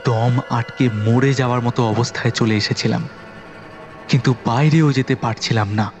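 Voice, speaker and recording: A man's voice: mid-range voice, steady tone, normal speed. A man narrating a horror audio story in the first person, with a suspenseful, dramatic delivery. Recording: voice over background music.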